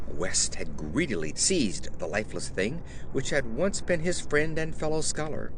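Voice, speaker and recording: A man reading a horror story as an audiobook narrator, with a measured, literary and theatrical delivery. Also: mid-range voice, background noise.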